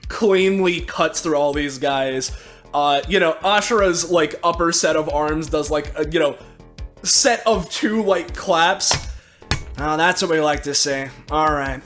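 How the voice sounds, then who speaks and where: A man playing a tabletop roleplaying game, improvising his character's actions in a halting, conversational way. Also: a little high, fairly bright tone. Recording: music playing behind the voice. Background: background music.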